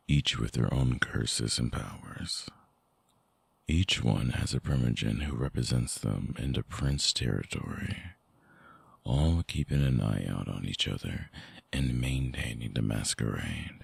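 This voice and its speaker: A man playing a lovelorn, seductive vampire ex in an intimate ASMR audio drama, speaking softly and haltingly with a very deep, gravelly, husky voice. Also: no room sound, heavily acted. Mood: brooding, explanatory. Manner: slow, halting, softly explanatory.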